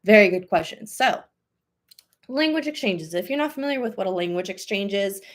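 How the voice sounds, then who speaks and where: A woman's voice: mid-range voice, animated, brisk. A woman in her thirties hosting a live question-and-answer stream as a language coach, talking casually and upbeat with lots of "um" and "like". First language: English. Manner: chatty, upbeat, many fillers like "um" and "like".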